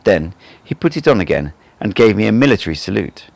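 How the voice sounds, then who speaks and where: A man reading a book aloud as an amateur audiobook narrator, in an expressive storytelling style. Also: on the low side, fairly quick, vocal fry.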